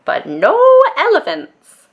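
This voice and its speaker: A firm old grandmother from a cozy storybook, acted out by a woman reader. She speaks very slowly and emphatically, drawing out her words, and her pitch swings up and down with exasperation. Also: wildly swooping pitch, muffled recording. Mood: polite but firm.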